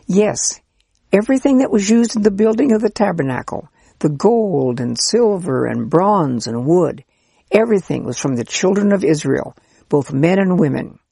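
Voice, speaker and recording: A gentle, patient old priest , as a storybook reader puts him on, explaining things kindly and earnestly. His voice is husky with a gravelly edge. The recording is close and dry. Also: mid-range voice, animated, moderate pace, dry recording, pitched above the performer's own voice. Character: kind, patient old male Israelite priest. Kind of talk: storybook character reading.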